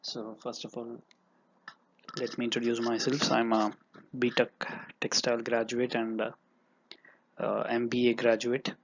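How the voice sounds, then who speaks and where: A man's voice: mid-range voice, monotone, a little slow, pauses often, slight background noise. A young man whose first language is Tamil, teaching economics in an earnest lecture with frequent fillers and repeats. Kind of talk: lecture with examples. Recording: dull lo-fi recording.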